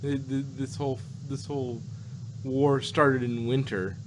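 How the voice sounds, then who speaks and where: A man's voice: medium pitch, fairly even intonation, measured pace. A man co-hosting a review show, chatting casually in a wry, musing and hesitant way. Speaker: podcast co-host. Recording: clear background noise.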